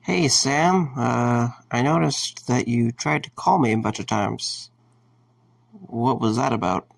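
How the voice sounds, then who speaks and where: A concerned, wry young man, acted by a man in an audio drama. His voice is medium in pitch with heavy fry, and he talks in a halting, low-key manner. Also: animated, heavily acted. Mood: worried.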